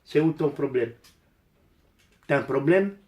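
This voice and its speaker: A man's voice: a little high, expressive, measured pace, darkish tone. Older Italian-accented man, roomy echo. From Italy. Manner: blunt, emphatic, repeats phrases. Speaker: amateur DIY vlogger. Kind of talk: casual rambling chat while doing repairs.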